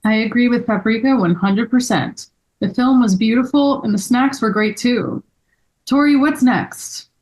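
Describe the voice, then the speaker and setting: Woman playing an agreeable friend, highish voice. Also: measured pace, a little mellow, close to an everyday voice, low-quality recording. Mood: appreciative. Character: agreeable, easily impressed member of a friend group. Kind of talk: table read of a script.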